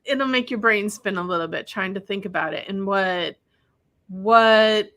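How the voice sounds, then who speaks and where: Bright, animated woman. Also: mid-range voice, a little slow. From Wisconsin, USA. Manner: animated storyteller who acts out other people's lines. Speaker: guest, spiritual practitioner. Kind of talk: casual conversation.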